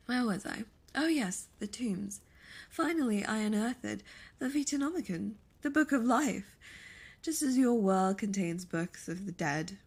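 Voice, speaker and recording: Woman voicing a slow, breathy, haughty succubus demoness. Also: mid-range voice. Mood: imperious, gloating. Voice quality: breathy, lapsing into whispers. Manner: slow, theatrical, condescending. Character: seductive, imperious, gloating female succubus demon, ancient and haughty. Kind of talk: ASMR audio roleplay.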